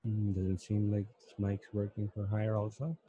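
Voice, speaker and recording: A man's voice: lowish voice, flat delivery, moderate pace, mellow, slightly rough, dry recording, highs cut off. A man in his thirties hosting an online workshop, politely calling on participants one by one. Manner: polite, brief, moves things along. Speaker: workshop host. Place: indoor room. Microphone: handheld microphone.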